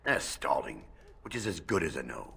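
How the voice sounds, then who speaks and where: Dour dwarf warrior, deep voice, lively swings in pitch, sardonic, game dialogue. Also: male voice, normal speed, creaky, breathy edge, full character voice. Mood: scornful.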